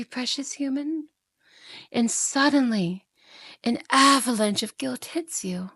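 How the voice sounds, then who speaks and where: A woman's voice: on the high side, dry recording. A woman playing a seductive, mocking yandere demon in an ASMR roleplay, coaxing and theatrical, often slipping into whispers. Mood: mocking, indignant.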